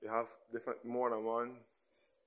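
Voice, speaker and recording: A man at a middling pitch walks and talks, pointing out sights in a relaxed, easygoing way. He is recorded on a handheld camera, first outdoors in a churchyard and then inside a large church. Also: normal speed. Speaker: travel vlogger giving a walking tour. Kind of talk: casual guided walking tour.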